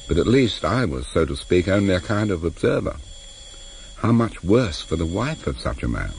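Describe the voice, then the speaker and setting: A man's voice: low voice, gravelly, darkish tone, poor audio. A man giving scripted first-person narration as the author introducing a radio serial. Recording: vintage radio recording, faint background noise.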